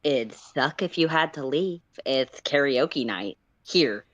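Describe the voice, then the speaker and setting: Woman playing a smug, slow-talking young man. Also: rumbling low, expressive, muffled recording, exaggerated voice. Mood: smug, faux innocent. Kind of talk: character reading on a livestream.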